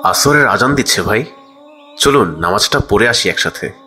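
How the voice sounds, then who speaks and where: A man playing an honest, pious small shopkeeper in a cartoon, gentle and courteous. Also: on the low side, expressive, moderate pace, soft background music. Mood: humble, calm. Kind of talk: cartoon story character dialogue.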